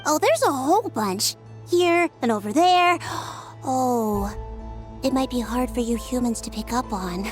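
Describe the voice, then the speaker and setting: A woman voicing a bright, earnest young detective in a video game, precise and analytical in her phrasing. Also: sits high, lively intonation, a little slow, faint music behind. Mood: confident.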